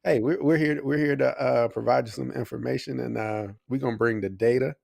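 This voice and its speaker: Casual male co-host with gravelly fry. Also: medium pitch, steady tone, brisk, darkish tone, dry recording, studio quality. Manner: informal, colloquial. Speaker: live show co-host. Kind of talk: casual livestream chat.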